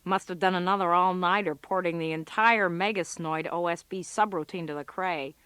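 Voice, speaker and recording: A woman's voice: fairly low pitch, fairly even intonation, moderate pace, fairly bright tone, clear, resonant tone, dry recording, close to an everyday voice. Sardonic woman playing a female office worker, dry sound. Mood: wry, musing. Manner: wry, muttering asides. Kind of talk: comic radio drama acting.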